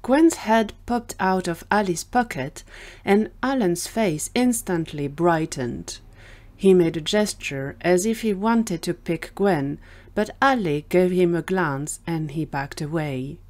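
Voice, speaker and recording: A woman reads scripted fiction as the narrator of an audio drama. Her voice sits a little low for a woman. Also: expressive, slow, darkish tone.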